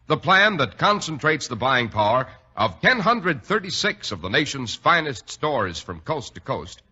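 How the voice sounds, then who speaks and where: A man's voice: mid-range voice, pushed and bright, husky. A man announcing in the polished selling style of a 1940s radio commercial. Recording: dull lo-fi old radio recording.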